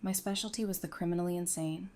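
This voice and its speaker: A woman's voice: lowish voice, steady tone, fast talker, darkish tone, natural voice. A woman in an audio drama playing a composed, perceptive psychiatrist turned survivor, earnest and self-assured. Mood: confident.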